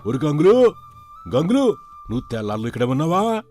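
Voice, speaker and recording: A man voicing an excitable, superstitious village manservant with exclamatory rustic speech in a folk tale. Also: on the high side, brisk, soft background music. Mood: excited, incredulous. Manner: exclamatory, colloquial rustic phrasing.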